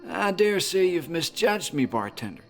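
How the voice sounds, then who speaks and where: A man playing an affable, curious traveling salesman in an audio drama, with a brisk, polite, self-assured manner. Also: fairly high pitch, very animated, faint music behind. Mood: intrigued.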